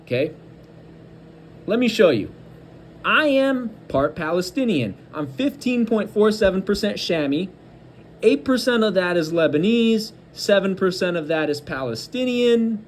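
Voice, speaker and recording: A man's voice: sits high, animated, unhurried, a little hiss or hum. A man giving angry, combative political commentary, hurling insults and hammering points through repetition. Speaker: host of a political commentary show.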